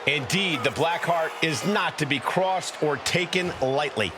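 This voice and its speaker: A man playing an earnest pro wrestling commentator in a video game, with measured, polished phrasing. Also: fairly high pitch, animated. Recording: loud arena crowd noise throughout. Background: roaring arena crowd.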